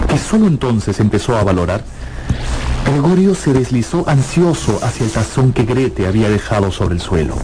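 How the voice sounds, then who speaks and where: A man narrating a dramatised radio audiobook in a theatrical, expressive storytelling manner. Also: medium pitch, noisy background.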